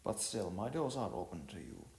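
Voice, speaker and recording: A man voicing a friendly, gently teasing young woman in an amateur story, with halting, informal speech. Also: sits low, huge pitch swings, creaky, heavily acted. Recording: roomy echo with music behind.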